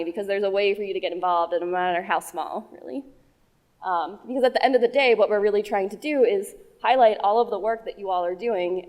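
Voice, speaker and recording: A woman giving a short, eager conference pitch that invites people to get involved. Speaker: conference speaker, outreach organizer. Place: conference hall stage.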